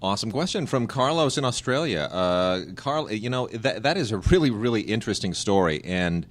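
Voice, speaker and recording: Low-voiced man in casual podcast chat. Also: animated, normal speed. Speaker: podcast co-host.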